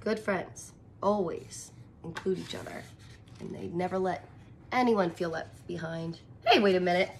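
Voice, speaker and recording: A woman in her thirties reads a picture book aloud to young children and comments on it in simple, expressive, wonder-filled phrases. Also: on the low side, measured pace, slight background noise. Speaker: teacher reading aloud to children. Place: small indoor room, curtained corner.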